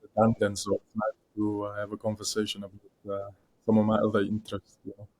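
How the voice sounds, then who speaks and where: A young man from South Africa speaks in a low, level voice as a podcast guest. He talks in a hesitant way, full of fillers and restarts. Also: monotone, brisk, darkish tone, poor audio. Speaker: guest, content creator. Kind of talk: podcast interview.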